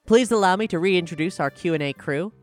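A woman's voice: fairly low pitch, a little fast, soft background music. A woman hosting as showrunner, introducing and chatting about the series. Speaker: showrunner and host. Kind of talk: scripted intro and casual discussion.

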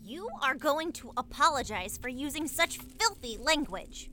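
A woman's voice: high-pitched, lively intonation, a little slow. An actress playing a fierce, protective mother mole in an audio drama, stern and scolding. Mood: indignant. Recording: clearly noisy background.

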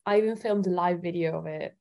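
A woman's voice: lowish voice, fast talker, warm tone. A woman from Italy chatting casually as a guest singer-songwriter in an interview.

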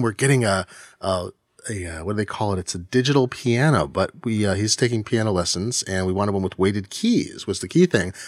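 A man in his forties co-hosting a podcast, chatting casually and animatedly, jumping high in pitch for jokes. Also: a bit bright, dry recording, clean studio sound. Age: 40s. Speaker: podcast co-host. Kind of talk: casual chat.

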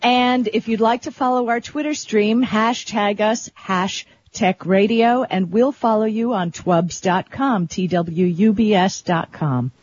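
American lady radio cohost, middle aged, chatty n slangy, medium pitch, lo-fi audio. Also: a little slow, few pauses, a little mellow, a little hiss or hum. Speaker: radio co-host. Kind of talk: casual co-hosted radio chat.

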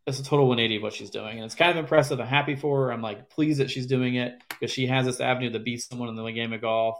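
A man speaking as a podcast guest, his voice sitting at an ordinary middle pitch. He talks in a rambling, halting way, circling back and restarting his sentences. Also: fairly even intonation, a bit bright. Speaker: former caddie, guest. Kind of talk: casual interview chat.

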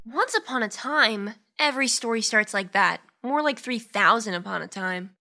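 A woman's voice: on the high side, lively intonation, moderate pace, gravelly, sharp tone, heavily acted. A woman voicing a precocious, sassy young girl who is blunt and bossy, in a comedic audio drama. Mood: impatient, sceptical.

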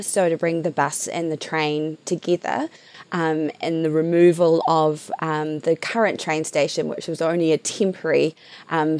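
A middle-aged woman with a New Zealand accent answers interview questions in a chatty, animated way, full of "ums" and "you knows." Her voice sits a little low, and it's recorded close and dry, with no room sound. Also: dry recording. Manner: animated, chatty, many fillers. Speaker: local board member.

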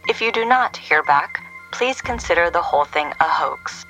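An actress playing a cool, cryptic answering-machine voice for a secret agency in an audio drama, measured, clipped and ominous. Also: female voice, fairly low pitch, expressive, bright, forceful tone, faint music behind, rough recording. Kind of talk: scripted audio drama phone greeting.

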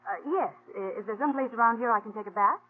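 An actress playing a proud, headstrong young woman in a 1950s radio western, with an assertive, sharp-tongued manner. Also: fairly high pitch, quick pace, natural voice. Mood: cool, assured. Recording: tinny, noisy 1950s radio recording.

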